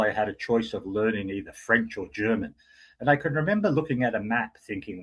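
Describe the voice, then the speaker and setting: A man with an Australian accent giving an explanatory talk as guest speaker, measured and with frequent fillers. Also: fairly low pitch, fairly bright tone, slightly rough, rough recording. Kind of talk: lecture-style talk.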